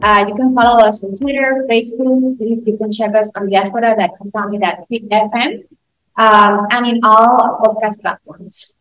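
A woman hosting a podcast in English, her second language after Spanish. Her voice sits a little high, and she talks haltingly, with frequent ums and restarts. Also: fairly even intonation, fairly bright tone, poor audio. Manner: halting, many fillers and restarts. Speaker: podcast host. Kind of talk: casual interview.

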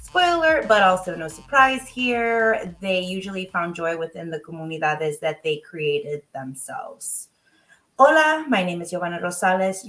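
A woman's voice: on the low side, a bit bright. A woman hosting a podcast interview, enthusiastic and effusive, slipping Spanish phrases into her English with frequent ums. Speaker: podcast host.

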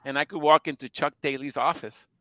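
An older man from Puerto Rico swaps stories in a relaxed interview, laughing softly and circling back on his words. His voice is bright and crisp. The recording is dry, with no room around it. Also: on the high side, fairly quick, no echo. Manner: storytelling, chuckles often, many restarts. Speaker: guest broadcaster. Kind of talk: casual interview chat.

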